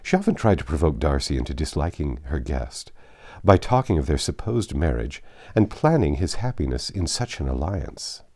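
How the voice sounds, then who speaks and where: A man's voice: a bass voice, sing-song, normal speed, darkish tone. A man reading classic fiction aloud as a narrator. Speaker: audiobook narrator. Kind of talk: scripted literary narration.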